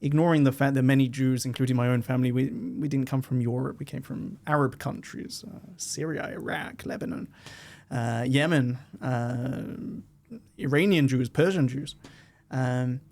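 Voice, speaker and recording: A man, a diplomat speaking as a guest in a podcast interview, measured and lifting his voice when he quotes others. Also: mid-range voice, vocal fry, darkish tone. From Israel. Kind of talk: conversational interview.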